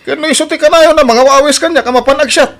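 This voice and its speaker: A man's voice: very high voice, fast, fairly bright tone, a little hiss or hum. A man playing a defensive, quarrelsome husband in an audio drama, whining and indignant, with an Ilocano accent. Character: defensive, quarrelsome husband bickering with his wife.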